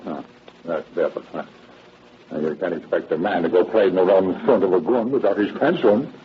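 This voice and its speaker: A man's voice: sits low, brisk, natural voice. An actor playing a folksy, grumbling, wisecracking handyman in old radio drama style. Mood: flustered, grumbling. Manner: folksy, grumbling, drily comic. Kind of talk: 1930s radio drama acting. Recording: muffled, hissy 1930s radio recording.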